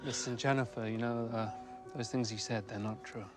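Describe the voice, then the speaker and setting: A man's voice: medium pitch, steady tone, a little fast, over music, close to an everyday voice. A man playing an anxious but supportive film producer in a naturalistic screen drama. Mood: consoling.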